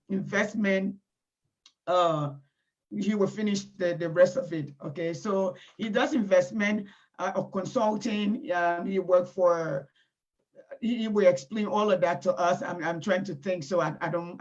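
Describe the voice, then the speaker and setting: A middle-aged woman with a Liberian accent hosting an informal live online talk, often halting as she searches for words. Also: medium pitch. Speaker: talk show host. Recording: dull, lo-fi internet stream audio.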